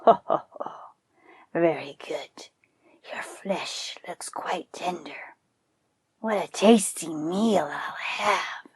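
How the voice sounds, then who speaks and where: Storybook tiger, acted by a woman: boastful predator, hushed whispery snarl, slow and menacing. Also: fairly low pitch, no room sound, exaggerated voice. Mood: gloating, hungry. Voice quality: whispery, breathy growl.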